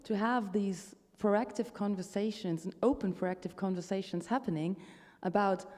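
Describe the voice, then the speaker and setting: Mellow-voiced woman in a hall. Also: mid-range voice, normal speed. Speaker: panelist. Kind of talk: panel discussion. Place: conference hall. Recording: reverberant conference hall.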